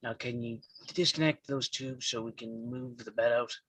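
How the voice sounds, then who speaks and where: A man acts out a young man standing guard over his father in a live script read. His voice is husky and dry, and he stammers heavily. He stays resolute. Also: medium pitch, fairly even intonation, normal speed, fairly bright tone, dry recording, poor audio. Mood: urgent. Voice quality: heavy stammer.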